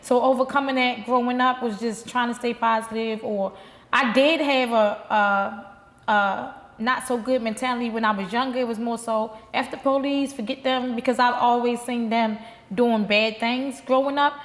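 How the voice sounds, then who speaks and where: A woman's voice: a little high, fairly even intonation, moderate pace. A woman, a guest speaking candidly and plainly in an interview at a restaurant lounge. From Baltimore. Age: 30s. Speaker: guest, life insurance agent. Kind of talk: casual interview. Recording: reverberant room.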